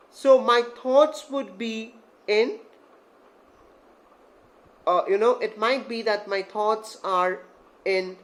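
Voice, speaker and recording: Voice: mid-range voice, a bit bright, poor audio. A voice and tutor, giving an online video lesson that explains ideas step by step with examples and keeps checking that viewers follow. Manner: keeps checking understanding with "okay?" and "cool?". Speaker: English voice and accent tutor.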